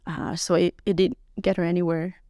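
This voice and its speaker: A soft-spoken young woman who grew up on the Thailand-Burma border and whose first language is S'gaw Karen, telling her life story slowly and hesitantly as a podcast guest, with many pauses and fillers. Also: on the low side, level tone, no echo, studio quality. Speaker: interview guest. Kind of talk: personal life story interview. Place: podcast studio.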